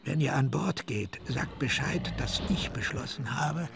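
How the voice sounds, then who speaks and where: An actor in a radio drama playing a cryptic, ominous sailor who needles with insistent questions and muttered asides. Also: male voice, medium pitch, animated, measured pace, vocal fry. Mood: mock cordial. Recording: music under the voice. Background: music.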